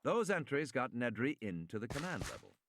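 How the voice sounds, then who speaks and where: A man reading scripted audiobook narration with deliberate, precise enunciation. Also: medium pitch, big pitch swings, dry recording, studio quality. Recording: loud gunfire and clicking game noise behind.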